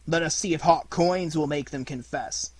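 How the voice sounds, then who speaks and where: A man voicing an angry, superstitious village mob leader in a storybook, shouting for vengeance. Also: fairly high pitch, normal speed, husky, no echo, voice pushed up. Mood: furious, frenzied.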